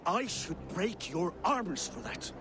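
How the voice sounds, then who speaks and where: An actor playing a cunning, secretive Viking war chieftain who talks in a conspiratorial, commanding way. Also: male voice, high voice, sing-song, slow pace, background noise, exaggerated voice.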